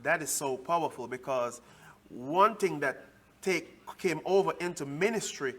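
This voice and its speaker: Jamaican guy, minister, keeps saying that's right, slightly higher voice. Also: a little slow, husky. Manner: affirming, frequent agreement. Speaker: co-host, minister. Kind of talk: casual chat.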